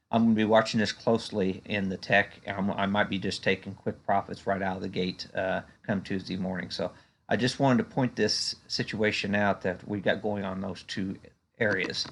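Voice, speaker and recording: Low-pitched, gravelly man giving market commentary. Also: flat delivery, moderate pace, fairly bright tone. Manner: conversational, hesitant, frequent "you know". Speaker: stock market analyst and video host. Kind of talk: unscripted market chart commentary.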